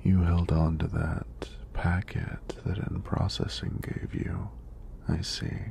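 A man playing a friendly, teasing EMT in a soft-spoken ASMR roleplay, with a deep, breathy, gravelly voice and an unhurried, reassuring manner. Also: level tone, heavily acted. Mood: calm, attentive. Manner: unhurried, reassuring, lightly playful. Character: friendly, teasing young male EMT doing a screening.

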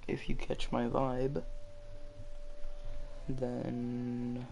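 A man with a low voice, chatting casually as he plays and reads game dialogue aloud. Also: monotone, drawn-out words, creaky, a little mellow. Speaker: gaming streamer. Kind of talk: casual let's-play commentary. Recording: game music underneath.

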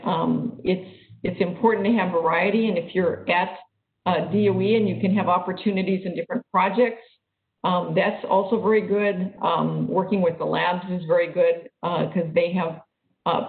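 A woman lecturer answering questions on an online call, speaking haltingly with many fillers and restarts. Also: lowish voice. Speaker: guest lecturer. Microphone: video call. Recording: echoey, phone-like call audio.

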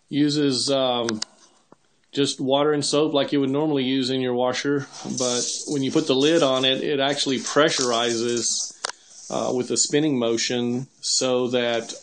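A man's voice: medium pitch, slight background noise. A man casually narrating a video he is filming in his home kitchen, explaining each step and laughing a little now and then. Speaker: channel host filming a demo. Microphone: handheld camera mic.

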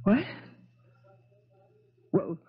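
An actress playing a defensive, scheming widow in a 1970s radio mystery, curt and haughty as she challenges questions. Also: extremely slow. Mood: startled, suspicious. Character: sharp-tongued, defensive, scheming widow under police questioning. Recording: dull, lo-fi 1970s radio broadcast.

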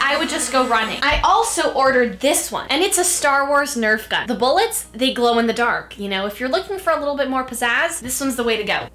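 Young woman vlogger, late teens. Bright, forceful voice, playful mock-drama, casual Q&A delivery in a roomy bedroom. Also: high voice. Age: late teens, young adult. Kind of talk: casual chat to camera, Q&A. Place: small bedroom indoors, sitting on a bed.

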